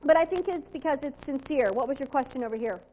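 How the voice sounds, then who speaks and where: A middle-aged woman, giving an upbeat, precise and animated sales training talk at a convention. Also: high-pitched, fast.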